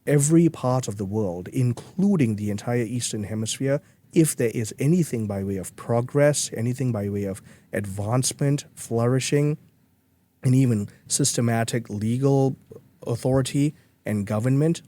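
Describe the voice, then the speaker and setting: A man's voice: medium pitch, normal speed, dark tone. A man from Malaysia, speaking earnestly as a guest in a podcast interview, with frequent restarts. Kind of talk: conversational interview.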